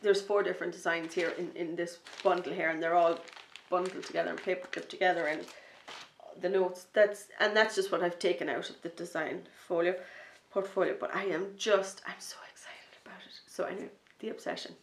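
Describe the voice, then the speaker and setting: Gravelly, enthusiastic woman in an echoey room. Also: lowish voice, fairly quick. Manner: enthusiastic, rambling, drops to a soft near-whisper when excited. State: starting a slight cold, sniffly. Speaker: knitting podcast host and designer. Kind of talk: casual chat to camera.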